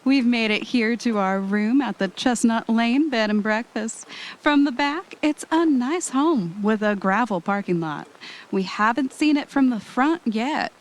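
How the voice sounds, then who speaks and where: A woman's voice: animated, a little slow. A woman playing an eager, bossy travel podcaster in an amateur audio drama, chatty and ready with an announcer's polish. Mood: polished narrating. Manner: chatty, switches into polished radio voice. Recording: noisy, vehicle rumble behind the voice.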